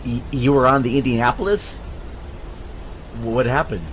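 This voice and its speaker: A man's voice: medium pitch, animated, normal speed, lightly acted. A man playing an eager, cocky young shark scientist in an amateur audio drama, bantering playfully and repeating himself. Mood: stunned. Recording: dull lo-fi audio with loud background noise.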